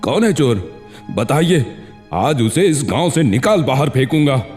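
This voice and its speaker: A man voicing an excitable, dramatic village figure in a cartoon story. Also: mid-range voice, measured pace. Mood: indignant. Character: excitable village men, crier and aggrieved headman. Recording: long reverb, music bed.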